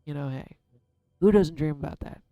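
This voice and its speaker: Man, tarot reader, soft-spoken, smooth dark tone, candid vlog delivery, faint music underneath. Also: on the high side, moderate pace, no room sound. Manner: candid, self-deprecating, musing aloud. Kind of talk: casual reflective vlog.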